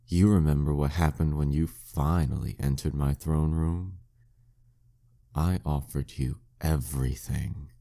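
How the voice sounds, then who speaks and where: A man's voice: a bass voice, steady tone, gravelly, warm tone, exaggerated voice. A man playing a flirty, dominant demon king villain in an intimate audio roleplay, teasing and smug in manner. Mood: possessive, seductive. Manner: teasing, smug, slow deliberate delivery.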